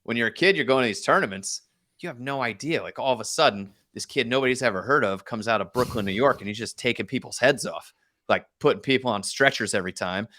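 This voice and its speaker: A man's voice: mid-range voice, fast talker, bright, forceful tone. A man, a podcast guest, telling stories casually in a self-deprecating, joking way. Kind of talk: casual conversational storytelling.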